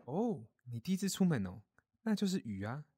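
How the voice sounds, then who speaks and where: A man as a kindly, lively-pitched mole. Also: fairly quick, noisy background. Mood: hospitable. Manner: gentle, reassuring, caring. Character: kindly grown-up mole, gentle, hospitable and protective. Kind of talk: children's audio story acting.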